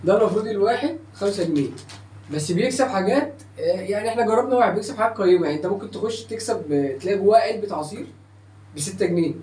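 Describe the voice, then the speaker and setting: A young Egyptian man hosting a casual unboxing vlog, chatting to the camera in an excitable, boastful, emphatic way. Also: high-pitched, racing. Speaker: vlog host. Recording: distant roomy mic with faint noise.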